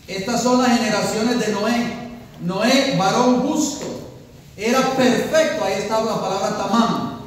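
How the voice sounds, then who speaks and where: High-voiced preacher in an echoey hall. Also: male voice, distant mic, slight background noise. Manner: preaching with rising emphasis, asks the congregation questions. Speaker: pastor. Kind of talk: sermon.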